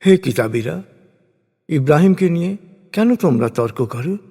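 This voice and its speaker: A man with a slightly high voice recites religious prose from a script. His delivery is solemn, formal and measured, set out in short declamatory phrases. Long reverb trails each phrase, as in a big hall. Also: expressive, warm tone, husky. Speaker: narrator of a scripture translation.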